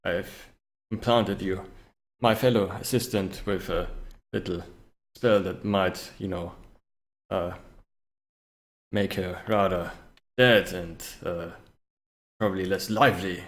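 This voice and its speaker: A man voicing a scheming male spellcaster in a tabletop game, with a formal, halting and politely menacing way of speaking. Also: low-pitched, steady tone. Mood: politely threatening.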